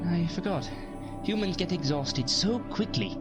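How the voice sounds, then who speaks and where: A man's voice: sits high, animated, unhurried, loud music behind the voice. A man acting an eccentric, ruminative time traveller, swooping voice. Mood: bemused, playful. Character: eccentric, secretive alien time traveller, older man, wistful. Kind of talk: audio drama acting.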